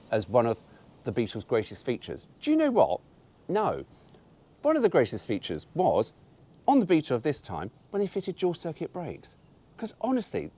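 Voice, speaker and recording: A man's voice: medium pitch, darkish tone, slightly rough. A man reading an old car brochure aloud, breaking off for wry, mock-indignant asides.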